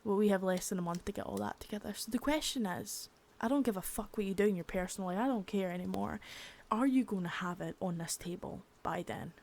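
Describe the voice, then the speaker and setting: Young woman playing a contemptuous female drug-ring boss, quiet menace, cursing, part-whispered; medium pitch. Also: fast, creaky, few pauses. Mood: cold contempt. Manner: menacing, swearing, soft with bits of whisper. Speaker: ASMR creator. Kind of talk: unscripted ASMR roleplay.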